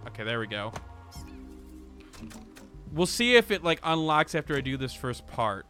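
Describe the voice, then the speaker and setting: Bright-voiced man rambling over a video game. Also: medium pitch, big pitch swings, moderate pace, soft background music. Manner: rambling, self-interrupting, thinking aloud. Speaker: gaming video host. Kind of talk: casual off-the-cuff let's play commentary, reading game text aloud.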